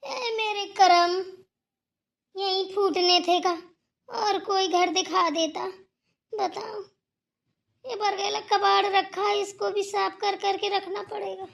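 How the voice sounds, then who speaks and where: A woman's voice: very high-pitched, fairly even intonation, slow, creaky, pauses often, exaggerated voice. A woman voicing a complaining, melodramatic young daughter-in-law who hates housework, in a family cartoon with rustic turns of phrase. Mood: grumbling. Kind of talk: cartoon family drama dialogue.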